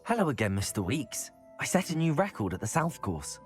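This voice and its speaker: A man's voice: mid-range voice, sing-song, fairly quick, soft background music, lightly acted. A man voicing an eager, polite teenage student, the hero of a video game. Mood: polite, pleased.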